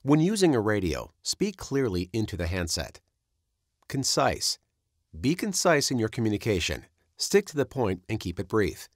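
Low-pitched man narrating training material. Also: sing-song, moderate pace, no room sound, studio quality. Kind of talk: scripted instructional narration.

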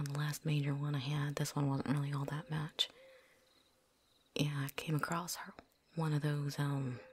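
Woman doing a whispery vampire hunter lady for asmr, lowish voice, chatty n cocky, folksy, bit of noise. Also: natural voice. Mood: chatty, reminiscing. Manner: folksy, rambling, with drawn-out asides. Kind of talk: whispered ASMR roleplay acting.

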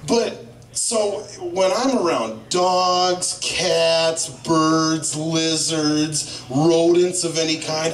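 Guy doing stand-up in a bar, swearing a lot, voice jumps all over, echoey and far from the camera. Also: on the high side. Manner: profane, rambling storytelling with lively impressions. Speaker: stand-up comedian. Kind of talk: stand-up comedy set. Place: small bar stage. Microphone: handheld mic, filmed by a far camera.

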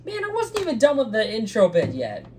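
A loud, excitable young man co-hosting a casual channel update in a small green-screen room, laughing often. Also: very high voice, brisk, a little hiss or hum. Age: young adult. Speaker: channel co-host. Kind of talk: casual chat.

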